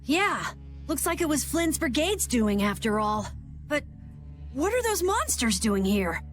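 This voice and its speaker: A woman playing a brisk, plucky young female adventurer in a video game, blunt and quick to scold. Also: high-pitched, sing-song, faint music behind. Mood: matter-of-fact. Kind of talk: video game cutscene voice acting.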